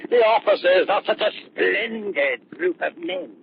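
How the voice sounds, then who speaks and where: A scheming, manipulative doctor from a 1930s radio mystery. His delivery is theatrical and insinuating, sweeping up and down in a fairly high voice. The old recording is slightly noisy. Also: sing-song, unhurried, vocal fry, dull audio, heavily acted. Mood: smug. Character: scheming, manipulative male scientist doctor, smooth and sinister.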